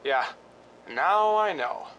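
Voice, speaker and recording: A man playing a weak, brooding husband with a violent temper and a halting delivery in a suspense radio drama. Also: lively intonation, fairly bright tone, lo-fi sound. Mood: resigned, yearning. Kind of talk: 1940s-style suspense radio drama acting. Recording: noisy recording with low rumble.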